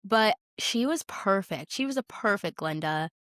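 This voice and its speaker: A woman's voice: medium pitch, expressive, a little slow, a bit bright, dry recording, studio quality. A young woman co-hosting a podcast, chatting casually and effusively, stressing and repeating her words. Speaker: podcast co-host. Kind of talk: casual chat about films.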